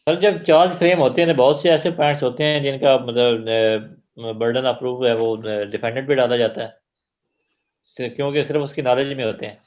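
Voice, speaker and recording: A man's voice: mid-range voice, fairly even intonation, normal speed, darkish tone, dull audio. A man in a classroom discussion earnestly explaining a legal point. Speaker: student.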